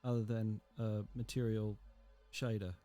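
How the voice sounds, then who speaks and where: A man narrating a software tutorial in a casual, explanatory and somewhat hesitant way. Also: on the low side, flat delivery, unhurried, soft background music.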